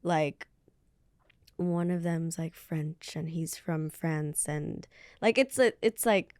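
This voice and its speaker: A woman's voice: low voice, slow, a little mellow, dry recording, clean studio sound. A young woman singer answering interview questions in a casual, hesitant way, with many fillers. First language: English. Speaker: guest singer.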